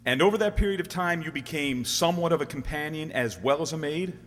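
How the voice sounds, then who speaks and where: A man playing a formal, probing courtroom prosecutor in a radio drama, putting deliberate questions. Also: on the high side, animated, close to an everyday voice. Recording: long reverb of a hall.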